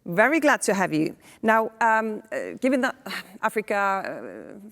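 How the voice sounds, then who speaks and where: A woman's voice: medium pitch, huge pitch swings, measured pace, gravelly, a bit bright. Woman moderator in a reverberant studio. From Iceland. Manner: conversational, with fillers and asides. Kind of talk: moderating a panel discussion. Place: indoor studio set. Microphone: lapel mic.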